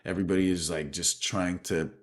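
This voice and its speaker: A man from Benin speaking reflectively in an interview as a guest, thoughtful and halting, often adding "you know". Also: sits low, steady tone. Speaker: guest, street dancer and choreographer.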